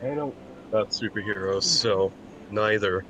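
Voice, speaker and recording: A man's voice: on the low side, moderate pace, a bit bright, highs cut off. Man joking casually over faint noise. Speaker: co-commentator.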